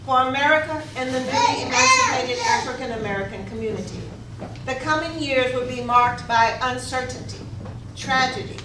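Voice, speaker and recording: A woman's voice: high voice, sing-song, normal speed. A woman reading formal historical narration in a readers theater. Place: echoey room, live rehearsal. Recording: echoey, distant, noisy rehearsal recording.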